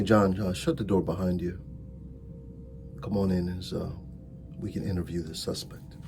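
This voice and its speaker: A man playing a calm, methodical, sardonic police detective in an ASMR roleplay, talking deliberately with drawn-out phrasing and wry asides. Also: fairly low pitch, natural voice. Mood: measured, dry. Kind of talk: slow ASMR roleplay acting. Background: music.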